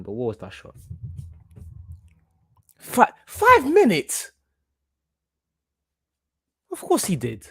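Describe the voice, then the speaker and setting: A young man from Portugal, about eighteen, hosting a casual football watchalong stream in an excitable, reactive way and often repeating himself. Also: mid-range voice, no echo, clean studio sound. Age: young adult, about 18. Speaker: livestream host.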